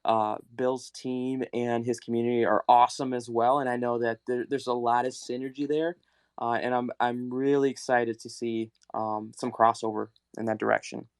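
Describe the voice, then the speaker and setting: Earnest guy hosting a live call, keeps restarting, pretty flat tone, smooth voice. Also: medium pitch, no echo, clean studio sound. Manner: earnest, halting, many restarts. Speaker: community host. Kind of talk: casual chat on a live audio call.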